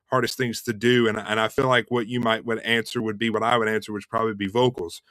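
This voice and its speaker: A young man hosting a casual interview chat over a video call, relaxed and chatty, often repeating words and saying "man" and "dude". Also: fairly low pitch, fast talker, no room sound. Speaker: host and Elvis tribute artist.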